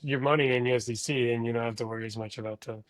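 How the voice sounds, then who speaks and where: A man chatting casually on a podcast, relaxed and asking interested questions. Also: medium pitch, racing, dry recording, low-quality recording. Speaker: podcast host.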